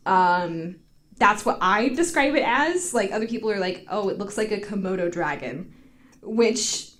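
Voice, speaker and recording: Animated, giggly young-sounding woman. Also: mid-range voice, measured pace. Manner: giggly, sweary, heavy use of "like". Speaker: podcast co-host telling a story. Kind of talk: casual comedic chat, storytelling.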